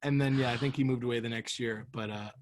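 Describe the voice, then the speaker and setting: A man's voice: medium pitch, steady tone, fast. A man in his thirties hosting a podcast, telling childhood stories in a chatty way and laughing often. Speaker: podcast host. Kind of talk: casual chat.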